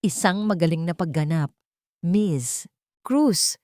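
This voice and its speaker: A woman's voice: fairly low pitch, lively intonation, takes it slowly, darkish tone, no echo, studio quality. Woman playing a venomous, sweet-talking rich matriarch. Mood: sweetly venomous. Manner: sweet with poison, condescending.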